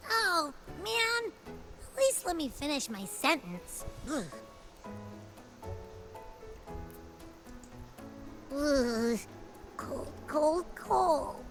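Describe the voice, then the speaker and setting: A woman voicing a small, gluttonous, excitable creature sidekick in a video game, expressive and playful. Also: high-pitched, takes it slowly, soft background music, exaggerated voice. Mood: grumbling. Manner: playful, expressive, whiny when put out.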